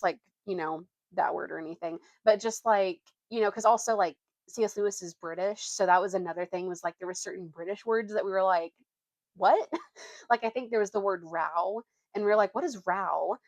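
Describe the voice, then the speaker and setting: A young woman vlogger with a medium-pitched voice, recorded dry. She moves between storybook narration and casual, informal chat with youthful phrasing. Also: brisk, dry recording. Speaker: vlogger reading books aloud.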